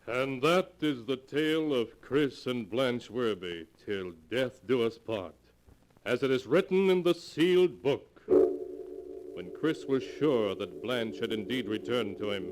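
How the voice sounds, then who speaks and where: A man's voice: medium pitch, expressive, measured pace. A man narrating as a 1940s radio horror announcer, solemn and theatrical, reading a script on a noisy vintage recording.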